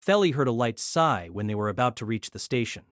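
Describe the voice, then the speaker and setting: A woman's voice: extremely low pitch, lively intonation, a little fast, dry recording, clean studio sound. A woman reading scripted narration of a novel as an audiobook. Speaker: narrator and reader.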